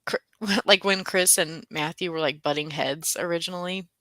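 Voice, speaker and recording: Bright-voiced woman chatting about books. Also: on the low side, unhurried, no room sound. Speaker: book discussion co-host. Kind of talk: casual book chat.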